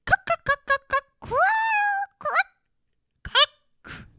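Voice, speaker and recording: A woman's voice: very high-pitched, lively intonation, very slow, sharp tone, dry recording, pitched above the performer's own voice, full character voice. A woman voicing an impertinent young male squirrel who chants teasing rhymes in a storybook. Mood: scolding. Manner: sing-song, chanting, taunting. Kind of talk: storybook character voice.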